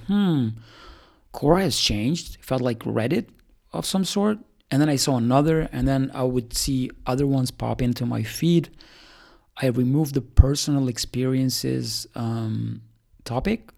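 A man talks through a casual update in a rambling way, with plenty of ums and restarts. His voice is low in brightness, mellow and dark, with a gravelly fry running through it. He sounds like a startup founder thinking out loud. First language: Albanian. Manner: rambling, with many fillers and restarts.

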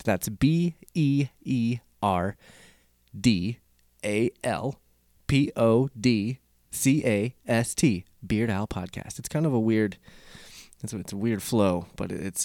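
A man's voice: lowish voice, darkish tone, dry recording. Man, podcast host, casual rambling chat, dry sound. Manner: rambling, with restarts and swearing.

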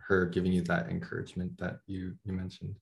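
A man's voice: sits low, completely flat delivery, fairly quick, vocal fry. A man interviewing a guest, asking brief, curious follow-up questions.

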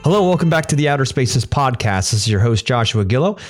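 A man's voice: medium pitch, lively intonation, fast, soft background music. A middle-aged man hosting a podcast, upbeat and a little rambling, repeating words as he talks to his guest. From Pennsylvania. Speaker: podcast host. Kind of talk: podcast interview.

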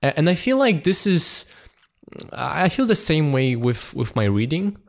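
A man's voice: fairly high pitch, sing-song, a little slow, gravelly. A man hosting a podcast, talking casually with many fillers and repeated words. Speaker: podcast host. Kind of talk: casual chat.

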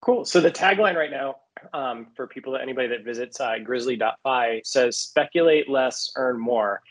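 A man's voice: mid-range voice, moderate pace, rough recording. A man hosting a podcast, asking questions in a friendly, conversational way. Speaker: podcast host.

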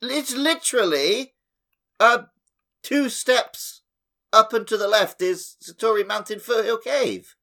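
A man's voice: high voice, slow pace, creaky, bright, forceful tone. A man co-hosting a casual gaming podcast, talking in a loose, joking, informal way. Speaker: podcast co-host.

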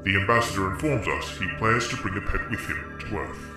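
A formal, low-voiced space service official played by a male narrator delivers orders in measured, official tones for a pulp sci-fi tale. The words echo heavily, with loud music underneath. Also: lively intonation. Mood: formal, grave. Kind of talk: pulp science fiction radio storytelling.

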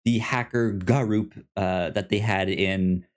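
A man co-hosting a podcast in casual review chat, halting and often stammering over repeated words. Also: fairly low pitch, fairly even intonation. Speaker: podcast co-host.

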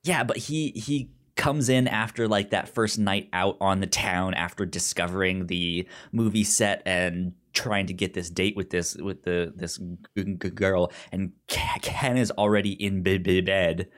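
A man's voice: low voice, steady tone, a little slow, few pauses, fairly bright tone. A man co-hosting a film podcast in casual conversation, stammering and often repeating syllables and words as he goes. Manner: acts out characters' lines while retelling.

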